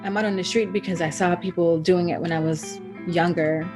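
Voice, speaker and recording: Earnest low-voiced woman from El Salvador. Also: steady tone, brisk. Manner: earnest, with frequent ums. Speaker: street artist and photographer. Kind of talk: interview talk. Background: guitar music.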